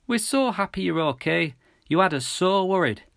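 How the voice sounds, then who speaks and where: A man's voice: high-pitched, lively intonation, moderate pace, creaky, fairly bright tone, dry recording, studio quality, voice pushed up, exaggerated voice. A man voicing a worried, loving mother with an emotional, pleading manner in an audiobook story. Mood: tearful relief.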